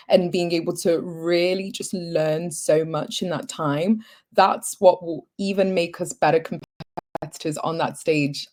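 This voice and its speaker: A woman's voice: fairly low pitch, a little mellow. A young woman from Zimbabwe, answering interview questions in an earnest, upbeat and articulate way. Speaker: pageant contestant, guest.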